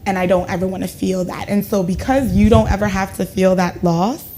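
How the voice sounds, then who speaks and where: Woman guest, from Ghana, reflective, mid pitch, faint background noise. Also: fairly even intonation, brisk, warm tone. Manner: reflective, earnest, uses "like" and "right?". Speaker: guest, education consultant. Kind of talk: casual studio chat.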